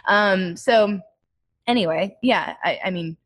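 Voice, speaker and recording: A woman's voice: mid-range voice, slow pace. A woman talking casually about running her business, reflective and full of "like" fillers. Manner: chatty, many "like" fillers, self-reflective. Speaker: entrepreneur guest. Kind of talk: casual interview talk.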